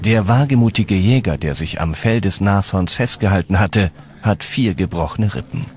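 A man's voice: sits low, moderate pace. A man reading scripted, dramatic narration for a documentary.

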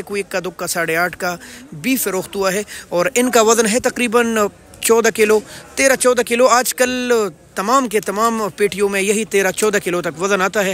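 Man, thirties, Pakistani accent, market report host, high pitch, sharp bright tone, earnest projected delivery. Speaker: host of market rate updates. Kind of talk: informational market report to camera.

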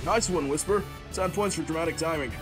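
A man's voice: fairly high pitch, fairly quick, lightly acted. A man voicing a cocky, upbeat young hedgehog hero in a comic dub, breezy and teasing. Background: music.

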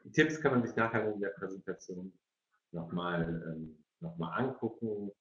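A man's voice: deep voice, normal speed. A middle-aged man moderating a webinar informally, repeating words as he forms his questions. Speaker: moderator of an online parents' evening. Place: indoor room on a video call. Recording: echoey room, dull video-call audio.

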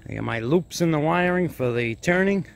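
A man's voice: on the high side, lively intonation, normal speed, gravelly, slight background noise. A man giving a plain-spoken, casual step-by-step narration of a hands-on DIY project as he films it. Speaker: DIY hobbyist video host.

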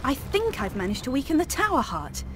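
A clever, defiant young woman in a fantasy game. She is urgent and resolute, with a lively, fairly high voice over prominent music. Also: brisk. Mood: urgent, determined. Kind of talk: video game cutscene acting.

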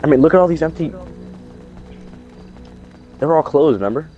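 A man's voice: on the high side, brisk, dull audio, soft background music, natural voice. A man playing a jittery, impatient survivor who talks in casual, sweary slang in an improvised video game roleplay. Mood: alarmed. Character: jittery, impatient male survivor eager to leave.

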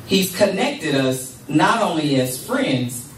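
An older woman speaks slowly and deliberately into a handheld mic in a church sanctuary. Her voice sits a little high. The sound is roomy and picked up from a distance. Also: sing-song, slight background noise. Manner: measured, deliberate, with congregation responses. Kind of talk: spoken address at a church service. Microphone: handheld microphone. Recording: echoey room, camera far off.

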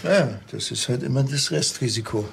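An actor playing a weary, matter-of-fact police interrogator with a gravelly, raspy voice in a comic audio drama. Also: male voice, medium pitch, brisk, a little hiss or hum, close to an everyday voice. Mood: dry, pressing.

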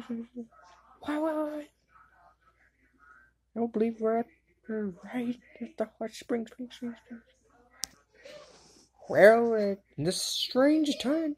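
Brief: woman voicing a young cartoon boy wizard, low-set voice, wildly swooping exclamations, slow wisecracking delivery. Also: darkish tone, exaggerated voice. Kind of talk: fan dub voice clips.

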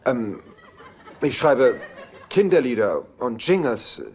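An actor playing a dry, sarcastic, exasperated bachelor brother in a German-dubbed sitcom. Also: male voice, mid-range voice, animated, takes it slowly, darkish tone. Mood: dry, evasive. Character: sardonic, exasperated bachelor brother who writes jingles. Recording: noisy TV soundtrack rip.

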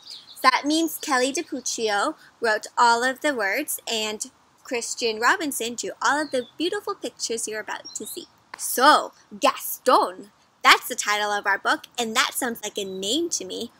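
A young woman with a high, bright voice hosts a read-aloud for kids. She speaks expressively, pitching everything to small children and inviting them in with questions. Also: lively intonation, unhurried, a little hiss or hum. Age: young adult. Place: outdoors in a garden or patio.

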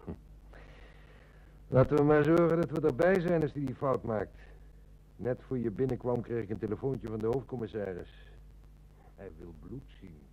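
A man's voice: medium pitch, moderate pace, long pauses, warm tone, close to an everyday voice, rough recording. A middle-aged man acting a seasoned, confident police inspector in a Dutch radio play. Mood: dry.

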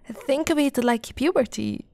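A young woman playing an affectionate, teasing lamia girlfriend in an ASMR roleplay, soft-spoken and often whispering, with a breathy, coaxing delivery close to the mic. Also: sits high, huge pitch swings, no echo, heavily acted. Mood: reassuring. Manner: slow, breathy, coaxing delivery with pet names. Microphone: close, dry microphone.

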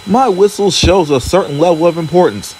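A man's voice: high voice, fairly quick, slight background noise, voice pushed up, close to an everyday voice, poor audio. A man voicing a vain, boastful young steam engine. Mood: proud, boastful. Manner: vain, huffy, excitable.

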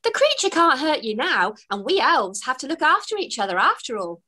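A friendly young boy elf, cheerful and reassuring, with a lifted, bouncy voice, as a woman reads him aloud in a storybook. The tone is bright and the pitch rides up and down lightly. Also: very animated, brisk, full character voice.

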